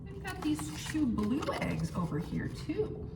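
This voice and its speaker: Woman, children's storytime reader, slow delivery, swooping suspenseful intonation, roomy noisy recording. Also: sits high. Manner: animated, exaggerated suspense with audible gasps and questions to listeners; simple child-directed phrasing, counting aloud slowly. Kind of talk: interactive picture-book read-aloud for young children. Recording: roomy, distant mic, clearly noisy background.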